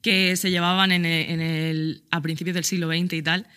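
A woman's voice: lowish voice, little pitch movement, normal speed, sharp tone, clean tone. A woman guest on a podcast, enthusiastically explaining fashion history in casual chat.

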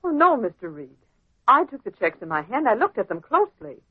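A woman playing a sharp-tongued, teasing newspaper woman, quick to retort, in old-time radio drama. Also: a little high, sing-song, slightly rough. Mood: insistent. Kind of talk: 1940s radio acting. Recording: thin, tinny old radio recording.

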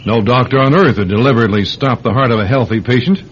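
An actor playing an alarmed, principled doctor in an old-time radio drama, stammering and admonishing in disbelief. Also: male voice, medium pitch, fast talker, close to an everyday voice. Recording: dull lo-fi radio recording with hiss.

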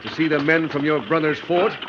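An actor playing a friendly pioneer wagon master in an old radio western, with folksy, hearty speech. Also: male voice, fairly high pitch, fairly quick, close to an everyday voice. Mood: eager. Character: friendly, plain-spoken pioneer wagon master, married man. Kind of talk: 1940s-50s radio western acting. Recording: muffled noisy vintage radio recording.

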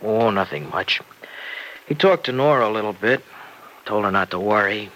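An actor playing an anxious, devoted young son-in-law, speaking earnestly and haltingly in an old-time radio soap opera. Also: male voice, fairly low pitch, animated, a bit bright. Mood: grateful, subdued. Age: young adult. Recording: noisy vintage radio drama recording.

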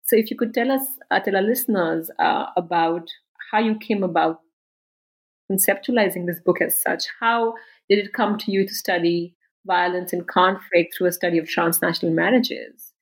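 A woman's voice: on the low side, fairly even intonation, moderate pace, low-quality recording. Woman host asking long rambly questions, lots of you know and uh, super appreciative. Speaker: podcast host, interviewer. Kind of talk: academic interview questions.

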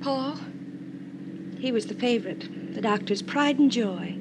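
A young woman with an American accent acting an anxious, bitter young wife in an old-time radio drama, with emotional, halting delivery. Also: animated. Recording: noisy old radio recording, vehicle rumble.

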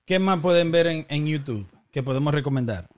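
A man's voice: a little high, fairly quick. A man with a Dominican accent hosting a podcast, chatting casually and joking around. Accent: Dominican Spanish. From Dominican Republic. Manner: joking, animated, teasing. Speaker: podcast host.

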